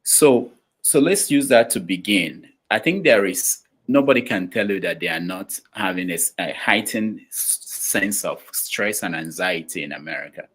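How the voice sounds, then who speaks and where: A man hosting a talk show, speaking haltingly with many fillers and restarts. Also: low-pitched, expressive, fairly bright tone, breathy edge. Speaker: show host. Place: studio.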